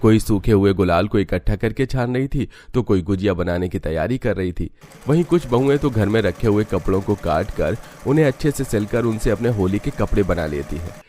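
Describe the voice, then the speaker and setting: A man's voice: lowish voice, lively intonation, brisk, mellow, a little hiss or hum. A man reading scripted storytelling narration for a cartoon tale.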